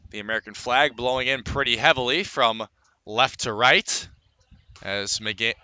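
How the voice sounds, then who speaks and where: A man calling live baseball play-by-play as a radio announcer, conversational between pitches and lifting his delivery for the action. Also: a little slow, no room sound. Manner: lifts into excitement on plays, conversational between pitches.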